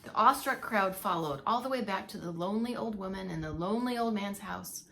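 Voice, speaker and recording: A woman narrating a children's story aloud like a preschool teacher, with animated, dramatic emphasis. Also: mid-range voice, brisk, gravelly.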